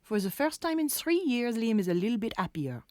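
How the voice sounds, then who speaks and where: A woman's voice: on the high side, sing-song, fairly quick, darkish tone, dry recording, studio quality. A woman playing a kindly French hotel maid, soothing and coaxing, with a French accent, in a children's audio drama. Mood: coaxing, reassuring.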